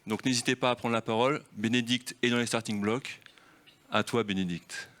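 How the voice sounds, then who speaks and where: A man's voice: medium pitch, fairly even intonation, moderate pace, fairly bright tone. A man leading a festival round table on film, talking freely and explaining through rhetorical questions.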